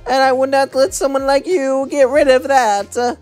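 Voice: high voice, fairly even intonation, measured pace, a little mellow, soft background music, voice pushed up. A man voicing a cheerful, goofy cartoon plumber hero with a mock Italian accent and bouncy, simple speech. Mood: defiant, playful. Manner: exaggerated, broken simple English, bouncy.

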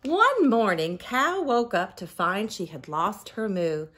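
A middle-aged woman reads a picture book for small children in an expressive storytelling style. Her pace is very slow and deliberate, and her pitch swings widely from word to word. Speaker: preschool teacher. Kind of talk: picture-book read-aloud for young children. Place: living room at home.

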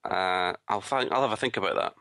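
Deep, bright-voiced man chatting. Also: brisk, dry recording, studio quality. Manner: wry, joking. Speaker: podcast co-host. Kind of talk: casual podcast chat.

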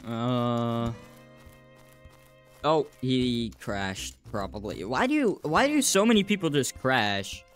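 Young male streamer, unhurried delivery, chatty and easygoing with viewers, light game music underneath. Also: mid-range voice. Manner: relaxed, chatty, answers viewers while playing. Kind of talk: casual live gameplay chat. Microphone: desk mic, wearing a VR headset.

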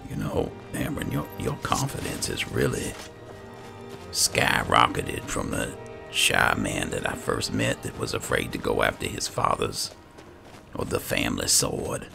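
A man with a very deep, gravelly voice giving casual, unhurried roleplay commentary as he plays a video game. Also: sing-song, music in the background. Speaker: gaming channel host, player.

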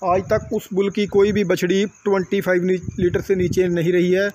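A man with a high voice talks earnestly and promotionally in a casual farm walkthrough. He speaks in short bursts. The recording is outdoors and loud noise runs under his voice, with roosters crowing. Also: little pitch movement, moderate pace. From Punjab, India. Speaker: dairy farm owner showing cattle. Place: outdoor cattle pen on a farm.

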